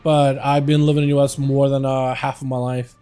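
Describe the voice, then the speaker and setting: A man's voice: medium pitch, little pitch movement, a little fast, dark tone, soft background music. A young man with a slight Russian accent, a streamer chatting casually while gaming, rambling and filling his talk with "like". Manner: rambling, chatty, answers chat questions, frequent "like" and laughs.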